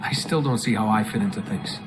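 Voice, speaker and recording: An actor playing a reluctant young recruit in a video game, speaking in a casual, questioning, sardonic way. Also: male voice, on the low side, a little fast, music in the background, close to an everyday voice. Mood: wary, sardonic.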